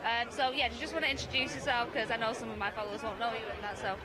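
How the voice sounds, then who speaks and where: Fast-talking woman vlogger, crowd behind. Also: a little high, little pitch movement, poor audio. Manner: bubbly, quick, chatty. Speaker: vlogger interviewer. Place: outdoors at a busy urban rally. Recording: crowd and music behind, outdoors. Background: crowd noise and music.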